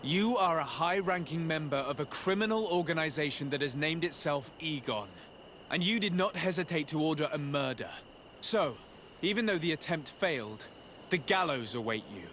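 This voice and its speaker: A man's voice: fairly high pitch, animated, moderate pace, a bit bright, slight background noise. An actor playing a formal, commanding male noble legate in a video game. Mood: stern.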